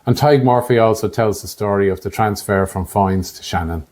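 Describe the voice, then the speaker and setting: A man in his late fifties with an Irish accent and a fairly low voice. He narrates from a script in a measured, factual manner, as a podcast host would. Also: a little mellow. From Ireland. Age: late 50s. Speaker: podcast host, air traffic controller.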